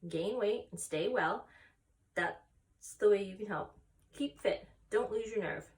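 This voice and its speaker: A woman's voice: mid-range voice, takes it slowly, recorded from a distance, lightly acted. Woman voicing a firm, motherly, wise spider. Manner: crisp, emphatic, instructing.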